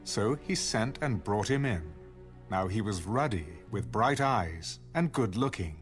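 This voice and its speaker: A man narrating an audio Bible in a formal, measured storytelling style. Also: lowish voice, animated, soft background music.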